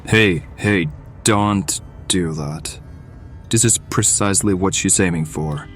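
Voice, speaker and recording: A man playing a deep, slow, cautious comrade. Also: fairly bright tone, soft background music. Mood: urgent calming. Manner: urgent, cautioning. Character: steady, cautious male hireling, the calming comrade. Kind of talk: audio drama acting.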